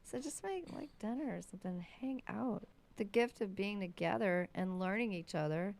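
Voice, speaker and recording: A woman's voice: medium pitch, lively intonation, normal speed, vocal fry, a little mellow. A woman chatting casually as a podcast co-host, supportive and encouraging. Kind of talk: casual chat.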